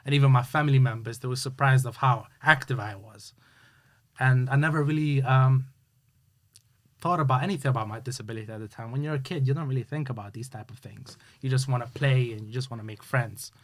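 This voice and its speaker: A man talks through his story as an interview guest. His voice sits at an ordinary middle pitch. He speaks earnestly and halts often, with plenty of fillers and restarts. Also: a little fast. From Hungary. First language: Hungarian. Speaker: guest, youth sports coach. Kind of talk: casual interview chat.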